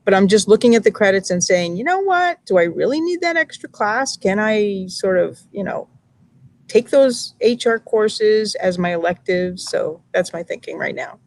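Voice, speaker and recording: Woman from brooklyn talking on a laptop call in a library, thoughtful, lots of ums, middle-pitched voice. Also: expressive, moderate pace, a little mellow, a little hiss or hum. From Brooklyn, New York. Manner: thoughtful, hesitant, many fillers. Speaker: MBA student and teacher. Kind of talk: casual video-call chat. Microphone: laptop mic on a video call.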